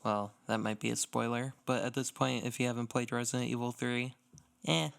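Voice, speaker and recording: A man chats casually as a podcast co-host, his voice mid-pitched and clear with a noticeable creak at the edges. He talks in loose, filler-heavy phrases, working out his thoughts as he goes. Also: fairly even intonation, fairly quick, fairly bright tone. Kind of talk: casual gaming podcast chat.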